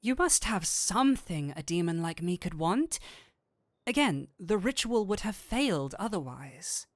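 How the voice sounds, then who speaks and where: Slow-talking, flirty, nerdy incubus played by a man. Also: high voice, very animated, no room sound, studio quality. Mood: flustered. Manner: coaxing, playful, theatrical switches between seductive and flustered. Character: flirtatious, nerdy male incubus demon, teasing but kindly. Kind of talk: intimate audio roleplay.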